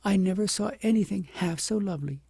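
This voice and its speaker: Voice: medium pitch, brisk, gravelly, mellow, husky, no echo, pitched above the performer's own voice. A reader voicing a young princess, humbled, earnest and full of feeling, in a storybook. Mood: adoring, emotional. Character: remorseful young princess, emotional, humbled and adoring.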